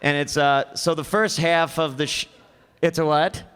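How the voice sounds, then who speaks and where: A man's voice: on the high side, steady tone, normal speed, a bit bright, clean tone. A man hosting a live stage show with an informal, rambling and joking introduction. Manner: hesitant, rambling, joking with the audience. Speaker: host. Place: stage before a small audience. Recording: live hall with long reverb.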